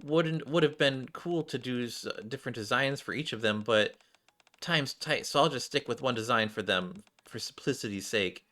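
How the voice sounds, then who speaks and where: Male game streamer, bright forceful voice, reads cutscene dialogue aloud, stumbles and self-corrects. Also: medium pitch, normal speed. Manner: stumbles and corrects himself while reading. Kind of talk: reading game dialogue aloud, acting out characters.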